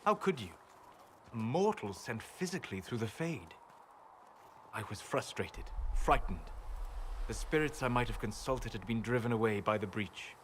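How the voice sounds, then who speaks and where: An actor voicing a reserved elven mage in a game, speaking in measured, formal phrases. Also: male voice, mid-range voice, lively intonation, a bit bright, natural voice. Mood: reflective. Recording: game audio with clear background noise.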